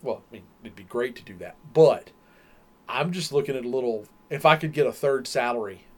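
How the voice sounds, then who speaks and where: A man's voice: medium pitch, expressive, fairly quick. Rambling Southern-accented man chatting. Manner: rambling, explains at length with restarts. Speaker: podcast co-host. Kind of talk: casual chat.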